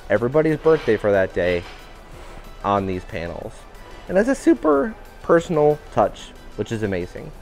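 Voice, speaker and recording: A man giving an upbeat walking tour of a cruise ship, his voice a little raspy today. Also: on the low side, darkish tone. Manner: upbeat, enthusiastic guiding. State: slightly raspy voice. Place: indoor public areas of a cruise ship.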